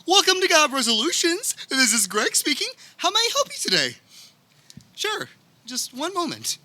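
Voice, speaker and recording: A woman's voice: high-pitched, huge pitch swings, normal speed, sharp tone, no echo, full character voice. A woman playing an unbothered male call-center agent in a comic radio play. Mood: customer-service polite. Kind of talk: live comic radio theater acting.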